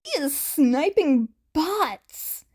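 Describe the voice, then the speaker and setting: A woman voicing an over-excited male sniper hero with an eager, drawn-out delivery in an audio story. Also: sits high, voice pushed up, full character voice. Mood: way too excited.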